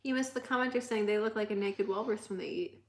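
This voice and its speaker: This is a young woman with a high, slightly squeaky voice, talking casually on a livestream. She chats loosely, reacts to comments, and laughs easily. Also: quick pace. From United States. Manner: chatty, laughs often, reads out comments. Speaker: livestream host.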